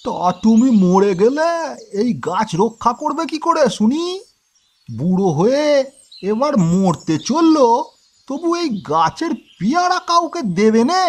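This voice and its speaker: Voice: mid-range voice, very animated, unhurried. A performer voicing a spiteful, sneering villager in a cartoon, taunting and mocking. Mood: scornful. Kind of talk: Bengali cartoon acting.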